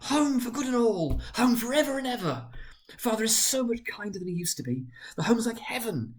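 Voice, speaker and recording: A man's voice: extremely high pitch, sing-song, normal speed, voice pushed up. A man voicing a tiny, loving, gleeful little girl in a storybook reading, with eager childish affection. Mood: gleeful, tender.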